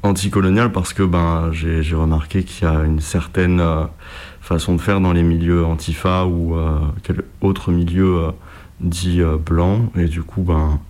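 A man, giving a personal testimony in a halting, hesitant way. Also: low-pitched, little pitch movement, darkish tone. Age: 20s. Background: music.

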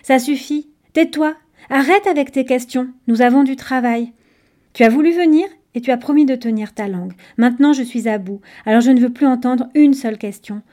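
A woman's voice: mid-range voice, expressive, fairly quick, warm tone, natural voice. Woman as a weary, bossy creature mentor. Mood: exasperated. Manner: grumbling, bossy, curt. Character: tired, impatient female creature training a young novice. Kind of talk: audiobook storytelling.

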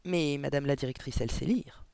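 A man voicing a gentle, earnest young woman teacher in a storybook, speaking politely and softly with quiet resolve. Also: mid-range voice, fairly quick, vocal fry, no room sound, close to an everyday voice. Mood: pleading, insistent. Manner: polite, soft, pleading.

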